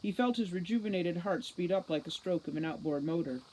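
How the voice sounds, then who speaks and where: A woman giving an expressive reading of a fantasy novel aloud, like a teacher reading to a class. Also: on the low side, fairly quick, slight background noise, low-quality recording.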